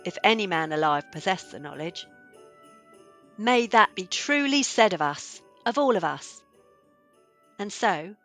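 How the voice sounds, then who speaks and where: A woman narrating a radio play in a dramatic storytelling style, with deliberate, emphatic phrasing. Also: medium pitch, a bit bright. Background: music.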